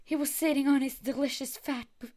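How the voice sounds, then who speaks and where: A young woman with a high voice reads a fanfiction aloud, flat and deadpan, swearing and breaking off now and then. Casual, loose delivery. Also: brisk. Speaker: co-host reading fanfiction aloud. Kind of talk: casual comedic reading.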